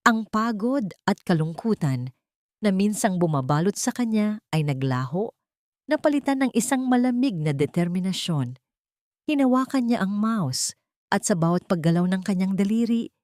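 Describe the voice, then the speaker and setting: A woman with a Filipino accent reading a scripted dramatic story in an emotive, deliberate storytelling manner. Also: mid-range voice, animated, a little mellow, dry recording, studio quality. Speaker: narrator.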